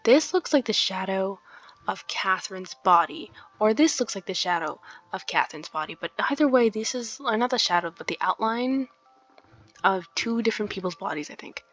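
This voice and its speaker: A woman's voice: mid-range voice, lively intonation, moderate pace, a bit bright. A woman hosting a let's play in a casual, chatty way, commenting on the game and reading from it. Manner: giggly, chatty, informal asides. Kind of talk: casual game commentary with some read-aloud narration. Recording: dull lo-fi mic, music behind. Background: game music.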